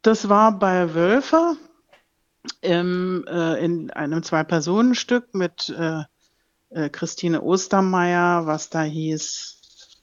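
A woman's voice: on the low side, no room sound, lo-fi sound. An older woman from Berlin, an actress and voice actress, talking frankly and emphatically as an interview guest. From Berlin, Germany. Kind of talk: interview guest, casual chat.

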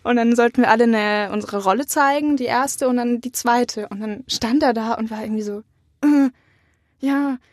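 A woman's voice: on the high side, normal speed, dry recording, studio quality. A young woman telling anecdotes candidly in a casual chat as an interview guest. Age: young adult. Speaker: interview guest, acting student.

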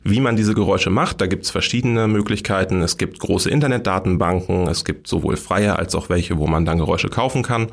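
A man's voice: deep voice, fairly quick, gravelly, hardly any pauses. A man, explaining things informally and step by step as an interview guest. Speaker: radio play workshop leader, interview guest.

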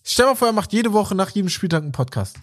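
Male podcast co-host, animated and rambling, slightly high voice. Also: fast talker. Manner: animated, rambling, searches for words. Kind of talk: casual chat.

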